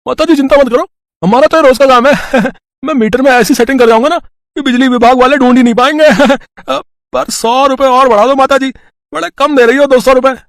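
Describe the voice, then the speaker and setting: A man's voice: extremely high pitch, steady tone, brisk, fairly bright tone, no echo, studio quality, pitched above the performer's own voice. A man voicing a cheeky, crooked young electrician in a cartoon moral story, chatty and wheedling.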